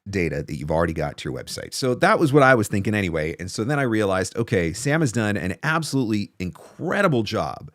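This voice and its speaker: Animated low-voiced man giving livestream advice. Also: fast, few pauses, no room sound, clean studio sound. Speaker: host of a livestream on voiceover business. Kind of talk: casual livestream advice talk to viewers. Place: small indoor home studio. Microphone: large studio microphone close in front.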